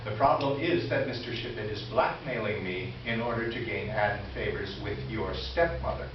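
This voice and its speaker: A man's voice: on the low side, normal speed, close to an everyday voice. Man playing an aggrieved employee, very echoey. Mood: earnest, aggrieved. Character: earnest, wronged store employee nearing retirement, confessing. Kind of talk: staged reader's theater, reading letters aloud. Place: indoor live stage presentation. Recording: echoey room, distant mic, muffled, noisy.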